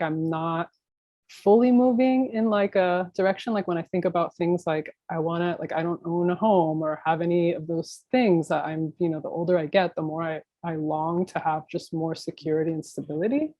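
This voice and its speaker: A woman's voice: lowish voice, moderate pace, warm tone, no room sound, highs cut off. An American woman from Miami speaking casually as an interview guest, thinking aloud in an informal, rambling way. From Miami, United States. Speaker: interview guest, new media artist.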